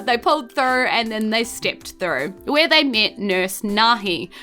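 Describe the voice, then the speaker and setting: A woman's voice: mid-range voice, pushed and bright. A woman with a New Zealand accent hosting as game master, narrating a tabletop game informally.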